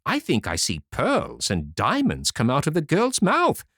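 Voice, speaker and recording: A man voicing a greedy, quick-tempered mother who scolds loudly in a fairy tale reading. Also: on the high side, wildly swooping pitch, dry recording, clean studio sound, pitched above the performer's own voice, exaggerated voice. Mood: scheming. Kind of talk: storybook reading.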